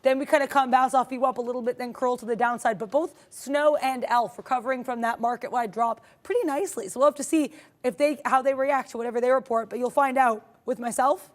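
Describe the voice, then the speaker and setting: A young woman with a slightly high voice co-hosts a live trading stream. She talks through stock charts casually and playfully, and she laughs and slips puns in as she goes. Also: steady tone, fast. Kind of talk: live market commentary, casual chat.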